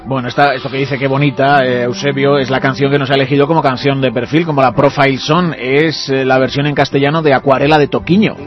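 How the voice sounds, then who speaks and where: A man hosting a radio interview, asking questions in a brisk, friendly way. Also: medium pitch, steady tone, highs cut off, faint music behind. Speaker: radio host.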